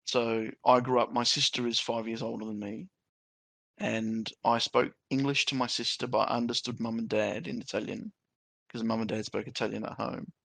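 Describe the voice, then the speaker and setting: A man's voice: medium pitch, fairly even intonation, moderate pace, vocal fry, a bit bright, no room sound. A middle-aged man hosting a podcast, chatting loosely and leaning on "you know" and "um". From Australia. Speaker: podcast host. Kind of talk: casual chat.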